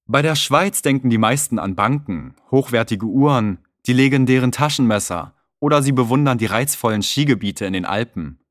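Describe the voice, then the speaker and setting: A man's voice: mid-range voice, expressive, moderate pace, few pauses, no room sound. A man in a middle register reads a scripted text in a friendly, explanatory tone. His voice is close and dry, with no room sound around it. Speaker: narrator and tour guide. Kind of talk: scripted narration.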